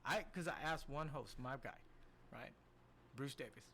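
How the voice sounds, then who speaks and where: A man from the Philippines, a podcast guest, telling stories from his life in a lively, casual way and often checking in with "right?". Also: medium pitch, normal speed, a little hiss or hum. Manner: animated storyteller, keeps checking in with "right?". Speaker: podcast guest, casino host. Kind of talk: casual storytelling interview.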